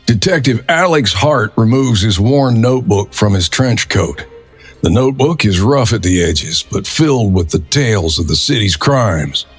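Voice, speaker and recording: A man's voice: lowish voice, animated, normal speed, breathy edge, faint music behind. A man narrating a crime drama from a script in a dramatic storytelling style.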